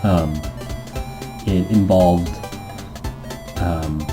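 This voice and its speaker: A man's voice: low-pitched, steady tone, drawn-out words, over music. A man hosting a let's-play, reading a visual novel aloud and adding playful, goofy improvised asides in a casual gaming commentary style.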